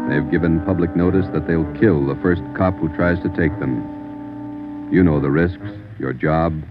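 A man solemnly introducing a vintage radio drama, grave and deliberate. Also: extremely low pitch. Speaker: announcer. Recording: tinny old radio recording. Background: dramatic music.

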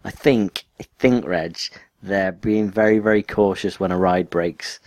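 A northern English man co-hosts a casual podcast, his voice on the low side. He rambles in a tired, self-deprecating way. The sound is dry and close, with no room around it. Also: steady tone, unhurried, darkish tone, dry recording. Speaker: podcast co-host. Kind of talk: casual chat.

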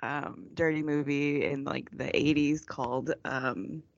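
A woman's voice: deep voice, steady tone, unhurried, vocal fry, dry recording, dull audio. A woman co-hosting a podcast in casual chat, giggling often and drawling her fillers. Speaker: podcast co-host.

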